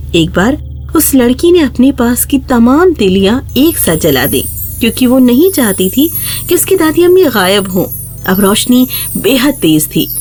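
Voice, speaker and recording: A woman's voice: fairly high pitch, darkish tone. A woman narrator reading a scripted children's fairy tale in a storytelling style.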